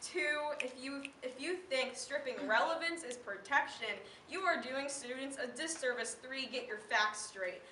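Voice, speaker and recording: A teenage young woman with an American accent delivering slam poetry in an impassioned, emphatic, declamatory way with deliberate pauses. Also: high-pitched, sharp tone. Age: teen. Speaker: slam poet. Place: live event in a room. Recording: roomy, distant mic, faint background noise.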